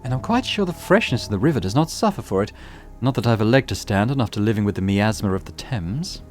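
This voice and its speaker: A man's voice: fairly low pitch, lively intonation, quick pace, soft background music, lightly acted. A man voicing a reserved, wry young woman who speaks in formal, clipped Victorian phrasing.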